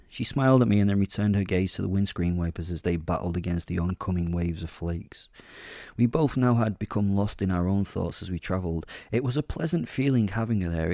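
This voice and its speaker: A man giving brooding, measured first-person narration as a predatory killer in a horror audio drama. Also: deep voice, dark tone, no room sound, studio quality.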